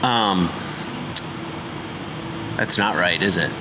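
A man's voice: sits low, very slow. A man in his forties hosting a casual podcast interview in a bike shop, chatty and joking. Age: 40s. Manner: chatty, joking, asks loose questions. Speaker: podcast host. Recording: noisy shop background. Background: shop noise, people working on bikes.

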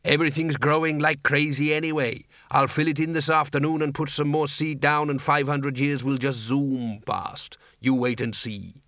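A friendly, homely dwarf gardener with a lowered, soft voice, voiced by a male narrator. It is recorded dry and close. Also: little pitch movement, normal speed, few pauses, no room sound. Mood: cheerful.

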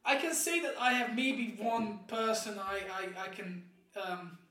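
Echoey, distant voice chatting haltingly. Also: mid-range voice, sharp tone. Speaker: podcast co-host. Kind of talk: casual chat over beer. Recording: echoey room, distant from microphone.

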